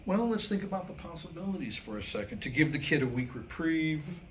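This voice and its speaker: A man's voice: on the high side, very animated, brisk, a little hiss or hum. A man delivers a humorous scripted monologue to a live audience in a wry, mock-scholarly way, posing rhetorical questions. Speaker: comedic speaker reading his own script. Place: live reading before a small audience.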